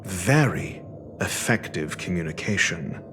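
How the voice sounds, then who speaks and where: An actor playing a haughty, condescending male scholar in a video game cutscene, speaking in a deliberate, theatrical manner. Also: deep voice, expressive, over music. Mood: condescending, stern.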